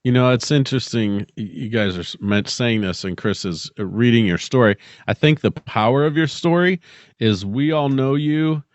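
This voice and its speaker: A man's voice: mid-range voice, moderate pace, dry recording. A middle-aged man chatting reflectively as a podcast co-host. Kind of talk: casual reflective chat. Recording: dry, slightly dull close recording.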